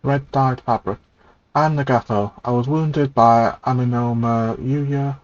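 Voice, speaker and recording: A man's voice: medium pitch, steady tone, unhurried. A man from Canada streaming a game, chatting casually and reading dialogue aloud. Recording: dull mic with game music behind. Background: game music.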